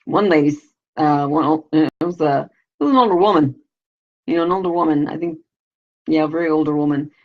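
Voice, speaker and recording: A woman with a low, raspy voice hosting a casual live video show. She rambles crudely and leans on constant "you know" fillers. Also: fairly quick, dull audio. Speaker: vlogger, show host.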